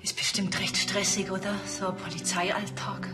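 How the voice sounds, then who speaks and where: A Bavarian beautician acted by a woman in a TV crime comedy. She is chatty and coaxing, with an average pitch. Music plays clearly in the background. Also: little pitch movement, normal speed, close to an everyday voice. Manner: chatty, persuasive. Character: chatty, coaxing female beauty salon owner.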